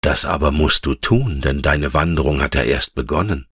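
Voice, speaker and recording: A man voicing a wise, gentle female elf in a fairy-tale audiobook, speaking in a measured, patient and tender way. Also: extremely low pitch, husky, no echo, studio quality, exaggerated voice. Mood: solemn, gentle. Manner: measured, patient, lyrical explaining.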